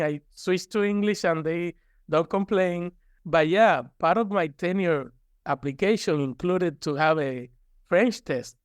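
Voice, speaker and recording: Male interview guest, Cuban accent, native Spanish speaker. Clear, smooth tone, candid conversational delivery, dry close recording. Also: sits high, no room sound. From Cuba. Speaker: guest, university professor.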